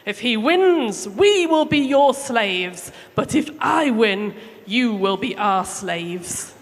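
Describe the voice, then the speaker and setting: A huge, boastful warrior giant from a children's story , acted out by a woman. The challenge is bellowed slowly and tauntingly, ringing through a big echoing hall. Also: sits high, lively intonation, exaggerated voice. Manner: slow, loud, bellowed challenge. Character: boastful, taunting giant enemy soldier, male.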